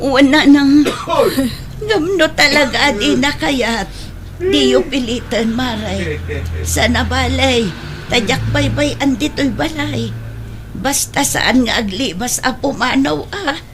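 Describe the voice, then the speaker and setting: A woman's voice: high-pitched, takes it slowly, background noise. A woman playing an anxious, plaintive daughter pleading for a sick brother in an Ilocano audio drama. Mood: distressed. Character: anxious adult daughter worried about her sick brother. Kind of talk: Ilocano radio-style family drama acting. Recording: music under the voice.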